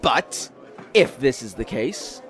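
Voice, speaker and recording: A man's voice: mid-range voice, animated, slow, fairly bright tone, voice pushed down. A man playing a theatrical, indignant bar regular in an audio drama, ranting bombastically and swearing. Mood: accusing, ranting.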